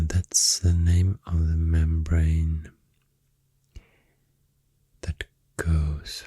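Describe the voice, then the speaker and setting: Very slow, breathy Swedish-accented ASMR woman. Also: rumbling low, no echo. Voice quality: breathy, whispery. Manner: hesitant, unhurried. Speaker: ASMR artist. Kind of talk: soft-spoken ASMR rambling. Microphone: close microphone. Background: soft pencil sounds.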